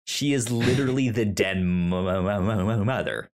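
A man hosting a podcast in casual conversation, halting and often stammering over repeated words. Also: on the low side. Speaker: podcast host.

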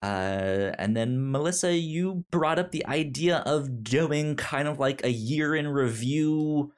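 A man's voice: fairly high pitch, slow. A man hosting a podcast in casual conversation, often stuttering and repeating sounds and syllables as he talks. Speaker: podcast host.

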